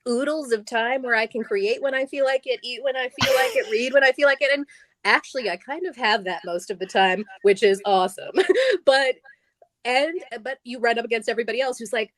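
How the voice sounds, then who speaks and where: A woman's voice: sits high, no room sound. A middle-aged woman, a guest on stage at a live event, talking casually and animatedly, laughing often and restarting her sentences.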